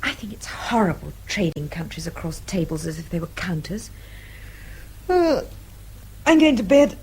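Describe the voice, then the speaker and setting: A woman's voice: fairly low pitch, expressive, moderate pace, a little mellow, husky, exaggerated voice, rough recording. An actress playing an outspoken, earnest young Englishwoman with a British accent in a radio drama, well-spoken and polite. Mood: frank, annoyed.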